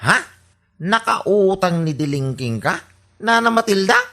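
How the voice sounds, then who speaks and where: A woman's voice: mid-range voice, animated, a little slow, a bit bright. A woman playing a suspicious, exasperated husband in an Ilocano radio comedy drama, speaking with an Ilocano accent and probing insistently. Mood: shocked. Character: suspicious, exasperated husband questioning his spendthrift wife.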